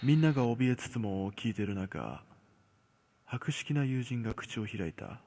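A man telling a scripted horror story as narrator, measured, with dramatic pauses. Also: medium pitch, lively intonation, warm tone, a little hiss or hum. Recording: long cavernous reverb.

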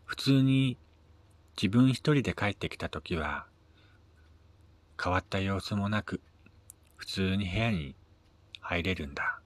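A man narrating a first-person short story in a measured, dramatic reading with pauses. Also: deep voice, lively intonation, dry recording. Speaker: amateur reader of his own short stories.

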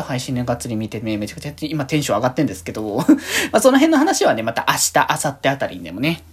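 A woman hosting a solo show in casual, diary-style chat, with an animated, rambling, giggly way of talking. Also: low voice, breathy edge. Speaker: solo podcast host and streamer.

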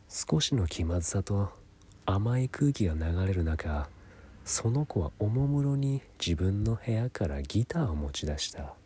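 A man's voice: low voice, warm tone, breathy edge. A young man telling his own story as dramatic narration, often half-whispering. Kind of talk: dramatic first-person storytelling.

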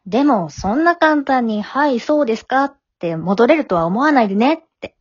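A woman's voice: a little high, expressive, moderate pace, slightly rough, no echo, muffled recording. Dry-sounding, frank teenage daughter played by a woman. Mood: firm, supportive. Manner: matter-of-fact, teasing, plain-spoken. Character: frank, forgiving teenage high-school daughter, gently chiding. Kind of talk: amateur short radio drama acting.

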